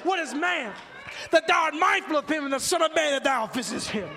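Fired up preacher guy, really high strained pitch, gravelly, rhythmic repeated lines, big echoey hall. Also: lively intonation, fairly quick. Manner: declamatory, rhythmic, repetitive cadence; fervent, emotional shouting. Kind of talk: impassioned sermon listing the names of Christ. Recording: long reverb of a large hall.

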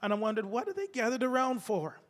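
Gravelly-voiced preacher with a cold. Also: male voice, very high-pitched, big pitch swings, fast talker. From United States. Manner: fervent, calls out to the congregation. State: has a cold. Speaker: pastor. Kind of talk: sermon. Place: church sanctuary. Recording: echoey hall reverb.

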